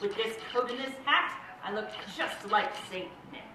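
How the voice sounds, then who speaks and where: A woman's voice: a little high, normal speed, noisy background, close to an everyday voice. A woman voicing a sneering, grumpy old creature who schemes gleefully, in a storybook read-aloud. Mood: gleefully sly. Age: 53 or older. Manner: snarling, sneering, gleefully sly. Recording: echoey room, distant mic, music behind.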